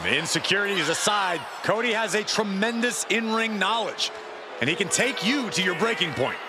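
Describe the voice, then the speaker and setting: Casting: male wrestling color analyst, high lively voice, noisy arena behind him. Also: normal speed. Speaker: wrestling commentator. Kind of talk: analytical sports commentary.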